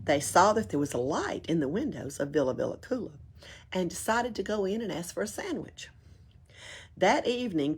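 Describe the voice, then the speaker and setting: A woman's voice: on the low side, a little mellow, breathy edge. A woman reading a children's book aloud expressively, as at a library storytime.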